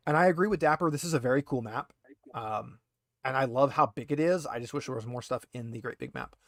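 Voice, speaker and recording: A man's voice: mid-range voice, quick pace, no echo. Man casually running a tabletop game, dry sound. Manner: conversational asides amid scene descriptions. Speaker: game master.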